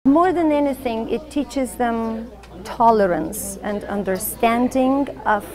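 A middle-aged woman with a Bulgarian accent, a Latin teacher answering interview questions in a classroom in a thoughtful, measured way. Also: on the high side. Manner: thoughtful, measured, with soft fillers.

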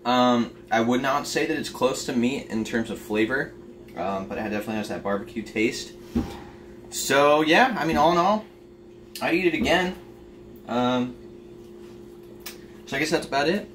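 A young man talks through his cooking in a loose, chatty way, full of "uh" and "um". His voice sits at an ordinary middle pitch. It carries a roomy echo and background noise from a camera set across the kitchen. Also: normal speed, long pauses, a bit bright, far from the mic. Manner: chatty, offhand, lots of fillers. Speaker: home cooking vlogger. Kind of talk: casual cooking vlog. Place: home kitchen. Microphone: camera on the counter, across the kitchen.

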